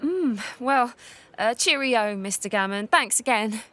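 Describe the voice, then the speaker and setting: Polite, hard-up working woman, audio-play character, high voice. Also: animated, a little slow, a bit bright. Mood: polite, weary.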